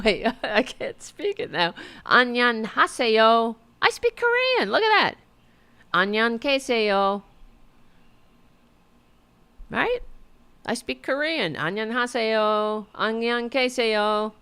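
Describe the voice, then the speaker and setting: A woman's voice: on the high side, a bit bright. A woman hosting a podcast with casual political commentary, sarcastic, mocking and profane, often exasperated and repeating her demands. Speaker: podcast host.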